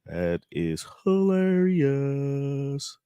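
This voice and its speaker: A man co-hosting a casual live coffee chat, playful and quick to laugh. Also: medium pitch, big pitch swings, warm tone, clear, resonant tone, dry recording, highs cut off.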